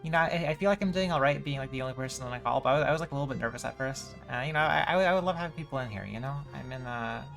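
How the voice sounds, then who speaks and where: Excitable, creaky-voiced male game streamer over music. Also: medium pitch, rapid-fire, few pauses. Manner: excitable, stammering, repeats words. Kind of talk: live game stream commentary, reading dialogue aloud. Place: indoors, live stream setup.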